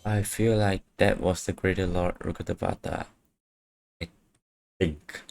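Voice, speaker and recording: A man's voice: low voice, fairly even intonation, measured pace, vocal fry, a little mellow, slight background noise. A man giving casual livestream commentary as he plays a game. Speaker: game streamer. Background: faint game music.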